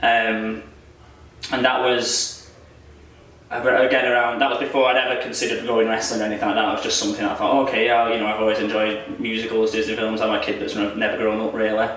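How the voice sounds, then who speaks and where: A man's voice: lowish voice, completely flat delivery, fairly quick, fairly bright tone, slight background noise. A young man chatting casually in an interview, rambling and self-deprecating, laughing often. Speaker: wrestler interviewee. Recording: echoey room, voice from far off.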